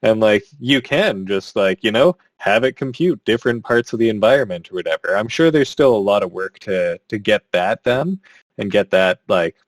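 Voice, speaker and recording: A man with a somewhat low voice talks casually as a podcast co-host, thinking aloud with lots of "like". The recording is dry, with little room sound. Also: animated, moderate pace, no room sound. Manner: rambling, heavy use of "like". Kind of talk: casual chat.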